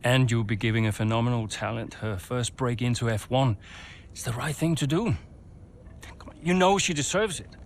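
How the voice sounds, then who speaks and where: An actor playing a racing team boss who talks in a persuasive, reassuring way. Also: male voice, mid-range voice, moderate pace, a little hiss or hum, lightly acted.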